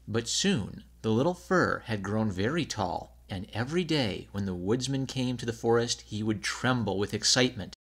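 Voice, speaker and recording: A man's voice: fairly low pitch, expressive, normal speed, hardly any pauses, fairly bright tone, slightly rough. A man narrating a scripted children's story as a storybook reader.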